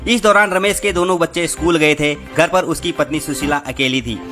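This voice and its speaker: A man's voice: fairly high pitch, brisk, sharp tone. A man narrating a scripted sensational story as host, dramatically addressing his listeners. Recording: music behind the voice. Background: background music.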